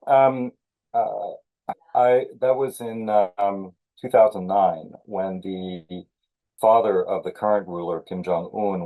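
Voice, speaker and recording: A man born in Senegal, a thriller author being interviewed, talking in a measured way with many fillers and restarts. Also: low-pitched, mellow, low-quality recording. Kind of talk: conversational interview.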